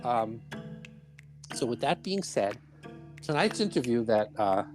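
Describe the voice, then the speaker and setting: A man's voice: medium pitch, very animated, measured pace, faint music behind. Chatty middle-aged podcast host man. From Brooklyn, New York. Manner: chatty and rambling, with laughs. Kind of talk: casual chat and interview.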